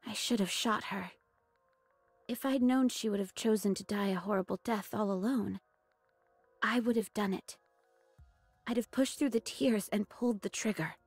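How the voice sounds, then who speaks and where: An actress playing a brusque, stoic young squad leader in a video game, husky and terse. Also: female voice, medium pitch, no echo. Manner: terse, matter-of-fact. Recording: dry studio voice, faint game music.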